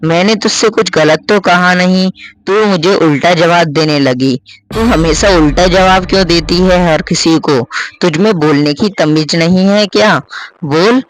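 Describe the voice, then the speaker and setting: A man's voice: sits high, poor audio. A man voicing an offended schoolboy who lectures a girl about manners, in a spoken story. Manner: indignant, lecturing.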